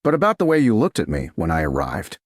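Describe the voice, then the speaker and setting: A man voicing a composed young noblewoman who speaks in a measured, earnest, plain way. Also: low voice, huge pitch swings, no room sound, studio quality, full character voice. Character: composed noblewoman, young adult, guarded but candid.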